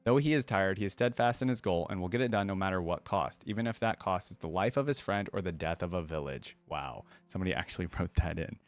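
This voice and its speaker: Low-voiced man reacting casually, close dry sound. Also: fast, hardly any pauses, no room sound. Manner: informal, addresses viewers as guys and dude. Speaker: reaction video host. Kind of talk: casual reaction commentary, reading wiki text aloud and commenting.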